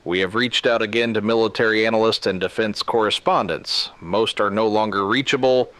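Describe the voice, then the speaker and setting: A man playing a grave emergency radio broadcaster in a scripted drama, speaking solemnly and deliberately in short, clipped sentences. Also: fairly low pitch, a bit bright, no echo, lightly acted. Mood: grave, controlled. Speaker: radio news host.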